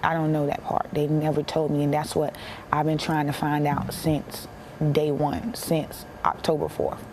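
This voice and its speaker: A young American woman giving a personal account in an interview, plain-spoken and halting, repeating herself for emphasis. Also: low-pitched, darkish tone, slight background noise. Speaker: interviewee, bereaved military widow.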